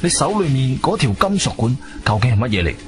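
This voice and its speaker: A man's voice: medium pitch, big pitch swings, a little fast, lightly acted. An actor playing a composed, wronged adventurer who tells his own story in a radio drama, stern and dramatic. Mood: weary, stern. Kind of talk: first-person radio drama narration and dialogue. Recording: music behind the voice.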